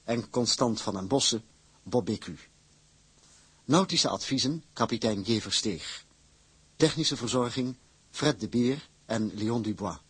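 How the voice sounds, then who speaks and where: Very husky, unhurried male announcer. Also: mid-range voice, lively intonation, fairly bright tone, no echo. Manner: formal, measured. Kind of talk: scripted credits announcement.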